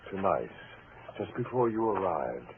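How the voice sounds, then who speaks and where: An actor playing a coldly vengeful husband posing as a ghost in a 1940s radio mystery, measured, sardonic and taunting. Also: male voice, low-pitched, sing-song, exaggerated voice. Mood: eerie, ominous. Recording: noisy narrowband vintage radio recording.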